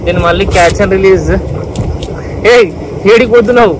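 Excitable man in his thirties, slightly high voice, vlogging on seaside rocks, steady wind and surf noise on the camera mic. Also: measured pace. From Telangana. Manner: excitable, switches into English phrases. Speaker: fishing vlogger. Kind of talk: casual vlog narration. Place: rocky seashore at night, outdoors.

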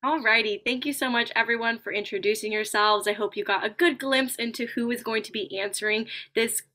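A woman's voice: fairly high pitch, steady tone, fairly quick, sharp tone. A young woman hosting a question and answer panel over a video call as its moderator.

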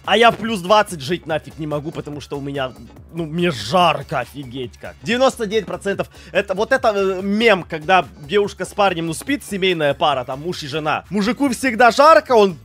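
A man's voice: high-pitched, brisk, soft background music. Nasal stuffy-nosed young man streaming. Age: young adult. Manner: animated, gestures a lot, swears casually. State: stuffy nose. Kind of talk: casual stream chat. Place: small home streaming room. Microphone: studio mic on boom arm.

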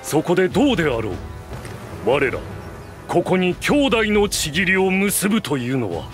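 An actor playing a grave, honourable bearded warrior in a video game, with solemn, archaic formal speech. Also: male voice, high-pitched, sing-song, moderate pace, soft background music. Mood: grave, respectful. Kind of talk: video game cutscene voice acting.

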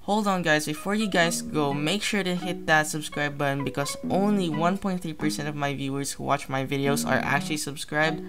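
A man's voice: fairly high pitch, fairly even intonation, moderate pace, few pauses, music in the background. A young man hosting a gaming channel, casually narrating his play in a chatty, slangy way, thinking aloud as he goes. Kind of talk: casual gameplay commentary.

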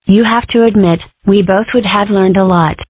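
A woman voicing a young, skeptical fox girl in a cartoon comic dub, with wry, deadpan delivery. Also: mid-range voice, moderate pace, natural voice. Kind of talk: scripted comic-strip dub. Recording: dry, tinny phone-like audio.

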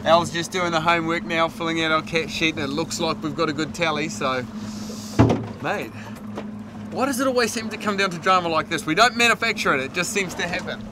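A man's voice: on the high side, brisk, heavy background noise. A man hosting a fishing show from a boat at sea, chatty and jokey, playfully dramatic, recorded on a lapel mic. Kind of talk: casual on-camera narration. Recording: music bed underneath.

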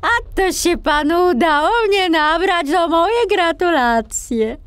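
A woman voicing a grumpy but good-natured male forester in a children's audiobook, expressive and exclamatory. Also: extremely high pitch, slow, no echo, pitched above the performer's own voice. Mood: hearty amusement.

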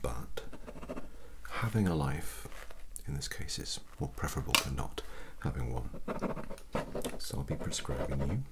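A man in his thirties doing a soft-spoken, half-whispered ASMR doctor roleplay with a gentle, unhurried bedside manner, very close to the mic. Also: very deep voice, lively intonation, vocal fry. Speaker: ASMR performer playing a doctor. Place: small quiet room indoors. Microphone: close mic, very near the mouth. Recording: noisy close-up recording with mouth clicks.

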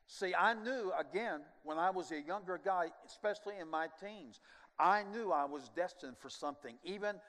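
Older pastor guy preaching on a big church stage, kinda high voice, booming hall echo, animated then goes quiet. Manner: animated preaching with sweeping gestures, drops to quiet asides. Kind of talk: sermon. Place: large church stage, indoors. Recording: long church hall reverb.

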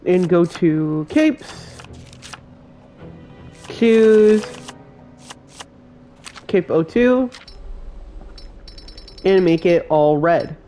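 A man's voice: high-pitched, expressive, warm tone, soft background music. A man with a cold and a congested voice, hosting a step-by-step game build tutorial as a voice-over. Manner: slow, plain instruction-giving voice-over.

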